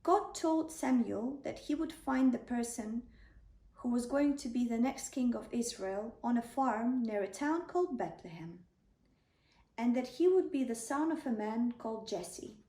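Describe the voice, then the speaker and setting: A woman narrating a children's bedtime story in a gentle, deliberate, clearly enunciated way. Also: a little high, animated. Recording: roomy, distant microphone.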